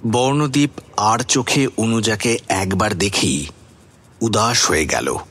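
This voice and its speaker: A man narrating a suspense story for a radio drama as a wry, composed storyteller with expressive delivery. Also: lowish voice, slow pace, slightly rough, exaggerated voice.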